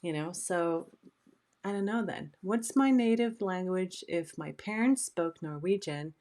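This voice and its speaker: Middle-aged woman from Sweden, native Swedish, low-ish voice, slow and chatty; live stream host. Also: a little mellow. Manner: chatty, unhurried, reads out viewer questions. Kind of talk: casual live stream chat.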